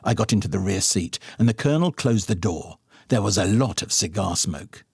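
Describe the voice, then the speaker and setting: A man reading fiction aloud as an audiobook narrator, with expressive, dramatic storytelling. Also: on the low side, moderate pace, creaky, husky, dry recording, clean studio sound.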